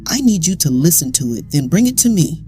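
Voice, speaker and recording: Woman playing a desperate friend with a secret, pushy and urgent, dark voice, pitch all over, audio drama. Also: sing-song, a little fast, faint music behind. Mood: low insistence. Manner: urgent, insistent.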